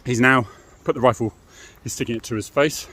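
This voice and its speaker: A man's voice: medium pitch, fairly even intonation, brisk, a bit bright, slightly rough. A middle-aged British man giving an informal, explanatory monologue as a former police officer, frank and matter-of-fact, often adding tag questions.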